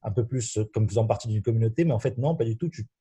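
A man's voice: lowish voice, fast, dark tone, breathy edge. A young man from Morocco talking casually about himself as a guest on a student podcast. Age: young adult. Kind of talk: casual personal chat.

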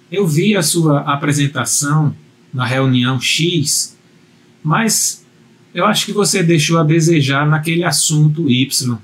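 A man teaching a communication video lesson, speaking earnestly and directly to the viewer, often repeating himself for emphasis. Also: on the high side. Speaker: communication coach.

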